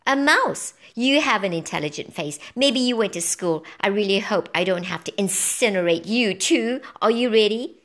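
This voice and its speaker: A woman's voice: medium pitch, animated, moderate pace, a bit bright, natural voice. A woman voicing a wicked, ancient witch empress in a storybook, barking orders in a shrieking, commanding manner. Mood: menacing, demanding. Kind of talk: storybook character voice.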